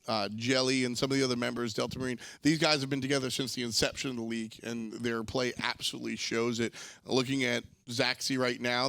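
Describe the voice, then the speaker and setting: A man's voice: medium pitch, creaky, a bit bright, dry recording. A man hosting an esports broadcast desk, presenting and analysing in an emphatic presenter's manner.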